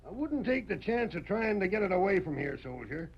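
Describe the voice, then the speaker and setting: A man's voice: high-pitched, very animated, a little fast, vocal fry, husky, full character voice. An actor playing a stubborn, folksy frontier lawman with a rural American accent in an old radio western. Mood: firm, folksy. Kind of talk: 1940s radio acting. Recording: dull, lo-fi vintage radio recording.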